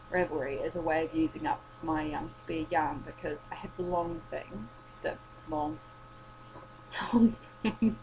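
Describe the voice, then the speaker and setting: A woman's voice: lowish voice, background noise. A tired young woman, rambling and swearing in a casual late-night vlog, her voice worn and starting to go. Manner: rambling, sweary, self-deprecating. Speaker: vlogger.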